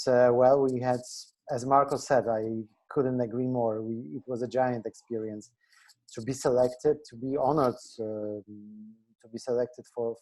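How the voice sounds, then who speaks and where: A man's voice: medium pitch, warm tone, poor audio. A Polish-born journalist, a man speaking English as a second language, who restarts phrases while answering questions. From Poland. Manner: searching for words, restarts phrases. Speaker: journalist guest. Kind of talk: casual interview answers. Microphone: video call.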